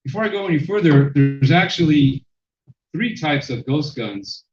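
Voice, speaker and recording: A man giving a public lecture as a sociologist, with a loud voice and many fillers and restarts. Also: on the high side, lively intonation, moderate pace, faint music behind. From Mexican-American. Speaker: sociologist presenter. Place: lecture room. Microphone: handheld microphone, then none. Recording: echoey hall, dull sound.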